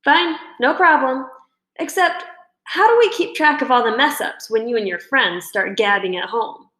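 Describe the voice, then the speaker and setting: A woman's voice: on the high side, lively intonation, normal speed, a bit bright. A woman reading a children's storybook, playing an annoying, cocky schoolboy with a mocking, needling manner. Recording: roomy indoor echo.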